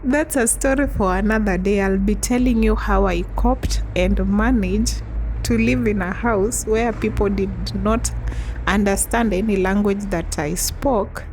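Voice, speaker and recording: Slow, gravelly young woman vlogger. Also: mid-range voice, slight background noise, low-quality recording. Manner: chatty storytelling with frequent soft laughs. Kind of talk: casual storytime vlog.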